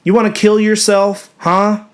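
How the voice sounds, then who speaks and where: A man's voice: sits high, monotone, a little slow, smooth, pitched above the performer's own voice. Man putting on a fierce scolding young sister. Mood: fierce, upset.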